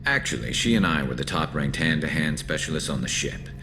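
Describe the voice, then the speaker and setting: A super low gravelly alien soldier from a video game, loyal but dry and wry, bit battle worn. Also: male voice, lively intonation, fairly quick, background noise. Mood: wry, relaxed.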